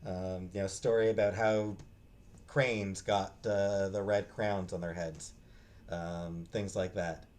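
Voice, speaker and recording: A man giving a casual, unscripted book review in a halting, hesitant way, often trailing off and restarting. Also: sits low, little pitch movement, a little mellow. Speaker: book reviewer, vlog host.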